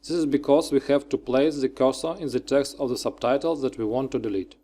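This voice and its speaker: A man with a mid-pitched voice narrates a software tutorial. He works from a script and moves step by step through short, clipped instructions. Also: fairly even intonation, fairly quick.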